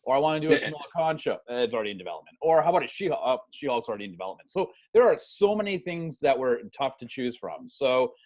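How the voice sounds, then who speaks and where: A man talking as a podcast guest, casually pitching ideas in a conversational, self-deprecating way. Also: sing-song, rapid-fire, hardly any pauses, a little mellow.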